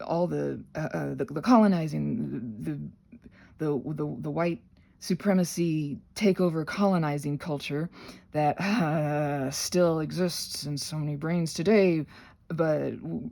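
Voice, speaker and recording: A woman who is sick, talking in a casual unscripted ramble as a channel host, halting and correcting herself often, with animated, emphatic asides. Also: low-pitched, vocal fry. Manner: rambling, halting, self-correcting with many trailing restarts.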